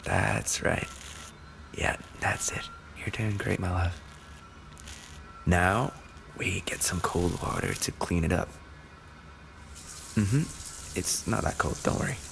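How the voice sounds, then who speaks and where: Man acting a low, gravelly, tender boyfriend. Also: slow, pauses often, soft background music, full character voice, poor audio. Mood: playful, fond. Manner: soft, tender, often dropping to a whisper. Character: affectionate injured male race car driver boyfriend, tender, grateful. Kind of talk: intimate boyfriend roleplay audio.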